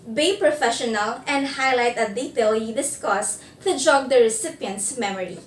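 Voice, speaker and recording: A woman presenting a scripted instructional video, giving phone interview tips in a measured, clear delivery. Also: a little high, reverberant, far from the mic, slight background noise.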